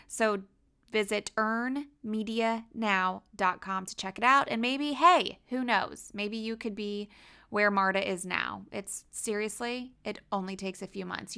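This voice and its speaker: A woman's voice: medium pitch, creaky, sharp tone, clean tone. A woman hosting a podcast and coaching clients on media, talking in an enthusiastic, promotional way. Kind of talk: upbeat podcast interview.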